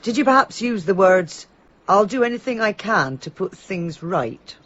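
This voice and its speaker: A stern detective questioning a suspect in a radio drama. The voice is husky and the manner formal and probing. The recording is a touch muffled. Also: male voice, high voice, expressive, moderate pace, a bit bright. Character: stern, sceptical police interrogator. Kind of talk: British radio drama acting.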